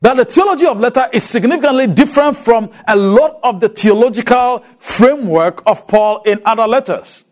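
A man's voice: very high-pitched, expressive, brisk, hardly any pauses, darkish tone. A man from Ghana with a Ghanaian accent, for whom English is not his first language, teaching a lecture on a Bible letter and putting rhetorical questions to his listeners.

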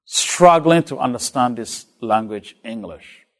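A man's voice: medium pitch, lively intonation, unhurried. A man from Ghana with a Ghanaian accent, for whom English is not his first language, teaching a lecture on a Bible letter and putting rhetorical questions to his listeners.

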